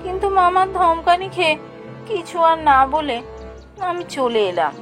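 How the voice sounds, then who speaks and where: A woman voicing a curious, stubborn child who narrates a spooky cartoon adventure in a suspenseful, expressive way. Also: very high-pitched, normal speed, soft background music. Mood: sulky, determined. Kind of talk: dramatic scripted ghost-story narration for animation.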